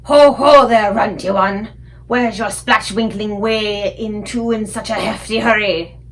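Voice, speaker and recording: A woman voicing a huge, crude, bullying giant in a children's storybook, booming out taunts in broken grammar. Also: fairly even intonation, unhurried, natural voice. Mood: menacing. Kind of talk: children's storybook read aloud.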